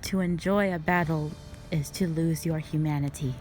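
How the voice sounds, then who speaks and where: A woman playing a stern, calm, duty-bound dragon guardian, speaking formally and solemnly in a scripted roleplay audio drama. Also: low-pitched, soft background music, natural voice. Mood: stern, resolute. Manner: measured, solemn, formal phrasing.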